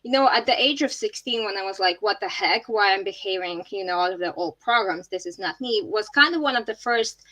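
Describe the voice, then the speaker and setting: A woman's voice: medium pitch, brisk, hardly any pauses, sharp tone, low-quality recording. A woman from Latvia, for whom English is a third language, speaking earnestly as an interview guest, often laughing and restarting words. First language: not English. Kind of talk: casual interview.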